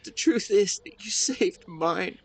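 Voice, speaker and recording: A man playing a grieving, devoted husband who speaks to his late wife in a tender, emotional, halting way, as an intimate audio roleplay monologue. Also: high-pitched, lively intonation, slightly rough, heavily acted. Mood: aching gratitude.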